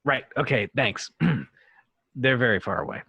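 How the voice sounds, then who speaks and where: Man acting earnest starship captain, husky mid-range voice, pleading, dry close sound, table read. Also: mid-range voice, a little slow, pushed and bright, dry recording. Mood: brisk. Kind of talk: amateur table read of a film script.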